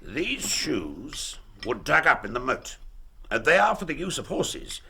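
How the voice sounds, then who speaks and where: A man's voice: a little high, big pitch swings, measured pace, vocal fry, pushed and bright, husky, exaggerated voice. A man playing a proud, weary older Duke in a radio drama, with an upper-class English accent, stately and formal. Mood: explanatory.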